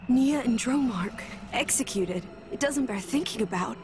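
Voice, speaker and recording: An actress playing a gentle, earnest young woman companion in a game, with a soft, caring manner. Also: fairly high pitch, expressive, a little slow, noisy background. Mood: concerned. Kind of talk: video game cutscene acting. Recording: music behind the voice.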